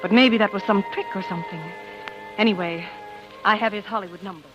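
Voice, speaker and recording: An actress playing an anxious, devoted young wife turned coy amateur sleuth, speaking haltingly in 1940s radio style. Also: female voice, medium pitch, fairly bright tone, lightly acted. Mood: confiding, determined. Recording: muffled vintage radio recording with music.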